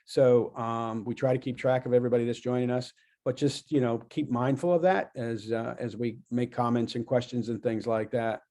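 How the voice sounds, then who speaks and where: A man hosting a coalition meeting, introducing the speaker in a casual, halting way with frequent fillers. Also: mid-range voice, dark tone. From Virginia. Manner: halting, many ums and uhs.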